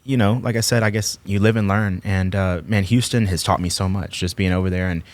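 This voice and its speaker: A man's voice: low voice, fairly even intonation, a little fast, no echo. A young man from Texas, hosting a podcast in casual chat, often repeating words and laughing. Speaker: podcast host.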